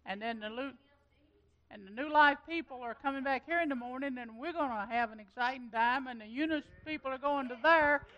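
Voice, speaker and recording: Woman pastor, bright tense voice, chatty announcements. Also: sits high, a little fast, rough recording. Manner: chatty and folksy, with loose trailing phrases. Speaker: pastor leading the meeting. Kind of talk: casual church announcements.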